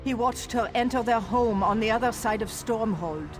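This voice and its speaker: An actress playing an earnest, urgent messenger in a fantasy video game. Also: female voice, a little high, moderate pace, natural voice. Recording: music behind the voice.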